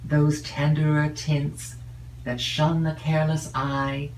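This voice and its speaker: A woman reading a classic novel as an audiobook narrator, in a measured, expressive way with drawn-out phrases. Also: deep voice. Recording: roomy, distant mic with faint noise.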